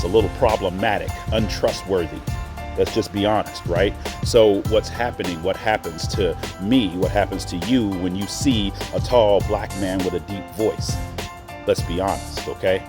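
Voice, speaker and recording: A middle-aged American man from Oklahoma giving a reflective monologue in an earnest, deliberate and emphatic way, pausing between phrases. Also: low voice. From Oklahoma, United States. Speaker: host.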